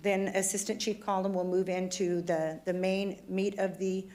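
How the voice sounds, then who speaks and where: A woman police chief giving a formal presentation to a city council, with a voice that is going hoarse as she speaks in a plain, conversational way. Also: mid-range voice, flat delivery, moderate pace, mellow. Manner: conversational and plainspoken, some fillers. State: losing her voice, throat trouble.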